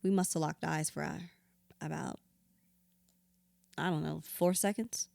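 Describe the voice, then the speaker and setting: Hurt, bitter widow, dry close recording. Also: female voice, low-pitched, steady tone, unhurried, gravelly, a little mellow, dry recording, heavily acted. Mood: guarded, bitter. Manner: raw, blunt, sweary, emotionally volatile. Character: hurt, bitter grown widow confiding to her therapist. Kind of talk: audio drama acting, confessional dialogue.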